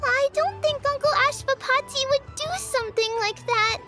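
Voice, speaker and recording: Tiny, extremely high-pitched childlike companion. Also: female voice, moderate pace, bright, forceful tone, soft background music. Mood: worried, explaining. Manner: squeaky, earnest. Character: small, high-voiced, helpful childlike game companion. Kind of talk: video game voice acting.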